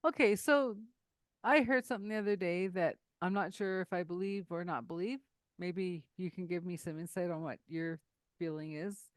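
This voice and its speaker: A woman hosting an interview, chatty and animated, who tells stories quickly and laughs often. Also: fairly low pitch, dry recording. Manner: lively storyteller, laughs often, speeds up when excited. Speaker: podcast host. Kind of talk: casual interview conversation.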